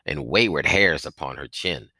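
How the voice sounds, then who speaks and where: Deep-voiced man reading a story, crisp and close. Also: normal speed, sharp tone, no echo. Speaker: reader of a children's novel. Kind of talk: scripted audiobook narration with character voices.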